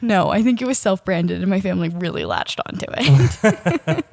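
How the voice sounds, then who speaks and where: A woman's voice: on the low side, very animated, normal speed, vocal fry, a little mellow, dry recording, studio quality. A woman in her thirties co-hosting a podcast in casual chat, her voice still scratchy after a cold, talking with frequent ums and laughs. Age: 30s. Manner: chatty, laughs often, many ums. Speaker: podcast co-host.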